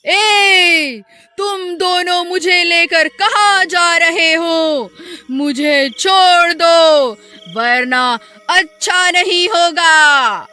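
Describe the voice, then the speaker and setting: A woman's voice: very high-pitched, heavily acted. A woman playing a menacing witch ghost who wails and pleads in a dramatised horror story. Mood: angry, threatening. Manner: drawn-out wailing and pleading. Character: female witch ghost, menacing, then wailing and begging. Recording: loud music behind.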